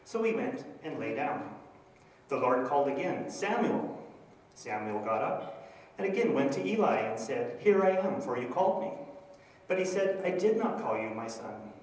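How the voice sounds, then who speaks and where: Middle-aged Canadian minister, hesitant, with handheld mic, in a reverberant church hall. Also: male voice, mid-range voice, big pitch swings, normal speed, a little mellow. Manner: hesitant, with many fillers. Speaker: minister presenting a graduate project. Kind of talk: church presentation with scripture reading. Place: church sanctuary before a projector screen. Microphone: handheld microphone. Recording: echoey church from a far camera.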